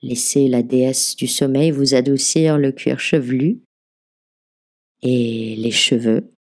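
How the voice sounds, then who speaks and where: Slow-spoken woman guiding a meditation. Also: extremely low pitch. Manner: gentle, soothing, measured instructions. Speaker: guided meditation teacher, channel host. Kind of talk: guided yoga nidra relaxation narration.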